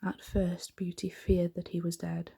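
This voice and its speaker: A woman reading a bedtime story in a soft-spoken ASMR style, hushed, gentle and breathy. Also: lowish voice, flat delivery, a little slow.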